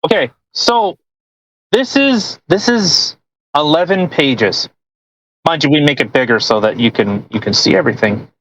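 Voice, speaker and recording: A woman's voice: low voice, lively intonation, measured pace, breathy edge, dry recording, poor audio. Incredulous, rambling woman podcast host. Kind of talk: casual chat.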